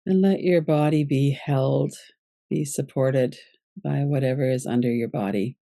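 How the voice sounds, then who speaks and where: A woman teaching yoga nidra, leading a guided relaxation meditation in a calm, gentle, unhurried way. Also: extremely low pitch, vocal fry, no echo, studio quality.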